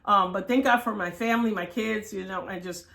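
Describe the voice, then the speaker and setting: A woman in her sixties telling personal stories as an interview guest, candid and expressive, often acting out what was said. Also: medium pitch, a little fast, creaky. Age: 60s. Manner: expressive, quotes herself and others as she tells it. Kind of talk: candid personal storytelling in conversation.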